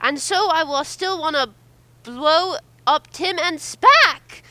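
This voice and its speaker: Woman doing a high, slow, childish kid villain. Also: animated, pushed and bright, dry recording, exaggerated voice. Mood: gloating, petulant. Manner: slow, deliberate mispronouncing. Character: childish, dim, boastful kid villain in a sci-fi parody.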